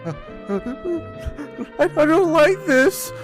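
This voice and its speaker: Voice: big pitch swings, extremely slow, exaggerated voice. A performer voicing a frightened young male fox sidekick who stammers and quivers in an amateur comedic fanfiction reading. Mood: terrified, pleading. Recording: old-timey low-quality mic, music.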